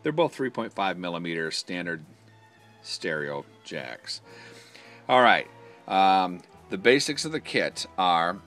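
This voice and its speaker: A man with a low, forceful voice walks through a product demonstration in a casual, practical way. He explains each part step by step in an informal manner, with music behind him. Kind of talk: casual hands-on product walkthrough.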